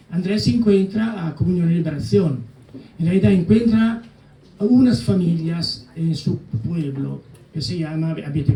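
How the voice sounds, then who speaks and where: An older man with an Italian accent talks at a book presentation, hesitating often and slipping into Italian words. His voice is gravelly and mellow. He sounds distant, in a roomy hall. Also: high voice. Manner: halting, many fillers and restarts, mixing Italian words. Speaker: co-author guest. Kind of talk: public book presentation talk. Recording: echoey hall, mic at a distance.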